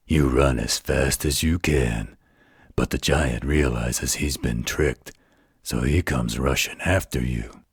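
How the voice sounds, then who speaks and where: A man playing a gentle, protective werewolf in a bedtime roleplay audio, speaking in a gravelly, husky, soothing voice. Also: a bass voice, no echo, clean studio sound, full character voice. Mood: hushed storytelling. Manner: soothing, unhurried, tender.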